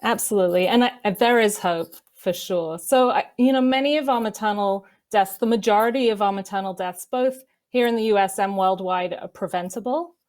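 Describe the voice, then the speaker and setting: A woman in her thirties, a medical researcher on a panel, explaining in a measured way with frequent "kind of" fillers. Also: mid-range voice, a little mellow. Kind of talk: panel discussion answers.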